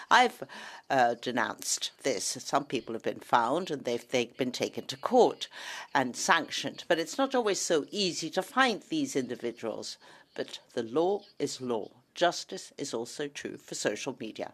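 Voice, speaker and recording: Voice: medium pitch, fairly bright tone. A speaker answering a question in a debate, firm and plain-spoken.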